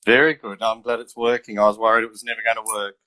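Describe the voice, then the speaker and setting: A man in his fifties with an Australian accent, an athletics coach telling stories in a relaxed, rambling way over a video call. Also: fairly low pitch, quick pace, dry recording, rough recording. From country New South Wales, Australia. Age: 50s. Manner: relaxed, rambling, many uh fillers. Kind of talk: casual remote interview storytelling.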